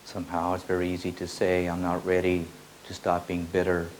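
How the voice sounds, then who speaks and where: Deep, flat-voiced man teaching slowly. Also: a little hiss or hum, low-quality recording. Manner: repetitive, incantatory phrasing. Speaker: spiritual teacher. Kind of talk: slow meditative spiritual teaching.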